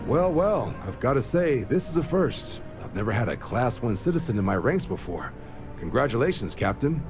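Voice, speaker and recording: A man's voice: mid-range voice, big pitch swings, moderate pace, over music, lightly acted. A man playing an affable, businesslike military commander in a video game, with hearty, informal authority. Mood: congratulatory.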